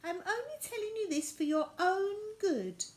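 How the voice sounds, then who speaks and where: A woman's voice: very high-pitched, sing-song, moderate pace, voice pushed up. A woman voicing an opinionated, fretful little girl rag doll in a children's storybook. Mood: bossy.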